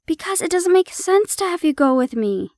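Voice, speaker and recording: A woman playing a harried but affectionate young girlfriend in an audio roleplay, expressive, teasing and coaxing. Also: very high-pitched, moderate pace, dry recording, clean studio sound, exaggerated voice. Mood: coaxing, busy.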